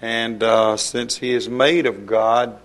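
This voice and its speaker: Flat-voiced man preaching slowly. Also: mid-range voice. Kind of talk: informal sermon.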